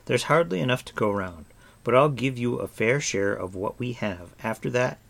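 A man voicing an earnest, stern young hero in an audiobook, firm, serious and quick to scold. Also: mid-range voice, expressive, vocal fry, fairly bright tone, voice pushed up. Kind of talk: audiobook character voice.

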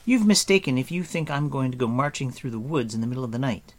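A man voicing a haughty, outspoken young girl in an audiobook, scolding in a brisk, matter-of-fact way. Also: mid-range voice, animated, pitched above the performer's own voice, natural voice. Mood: indignant. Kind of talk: audiobook character voice.

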